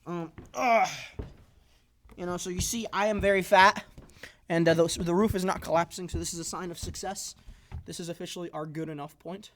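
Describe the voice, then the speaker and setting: A man's voice: high-pitched, steady tone, brisk, fairly bright tone, slight background noise. A man in his early twenties giving a casual, off-the-cuff tour of a workshop, rambling in a jokey, self-deprecating way with playful exaggeration. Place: indoor workshop.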